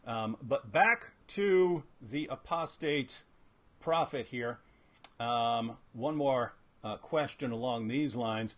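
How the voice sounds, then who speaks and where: A man's voice: mid-range voice, slow. A man hosting a live interview over a video call, asking questions and cracking teasing jokes. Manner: joking, teasing. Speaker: host.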